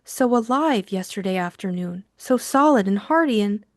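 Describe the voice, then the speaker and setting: A woman voicing a timid, troubled young female client in an audiobook, hesitant and pleading, with a hushed, trembling delivery. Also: medium pitch, expressive, moderate pace, no echo, studio quality, lightly acted. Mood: remorseful. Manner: hushed, hesitant, quivering, stammering. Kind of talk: audiobook character acting.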